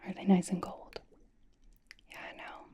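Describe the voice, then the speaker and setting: A woman's voice: a little slow, mellow, lightly acted. A woman playing a playful, teasing young female K-pop idol in an ASMR roleplay, whispering casual, reassuring skincare pampering. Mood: gentle. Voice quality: breathy whisper. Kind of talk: ASMR roleplay, soft personal-attention whispering.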